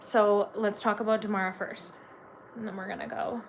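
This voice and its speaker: A woman's voice: medium pitch, level tone, moderate pace, a little hiss or hum. A woman from Canada giving a live tarot reading, chatty and gushing, full of "like" fillers. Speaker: tarot reader.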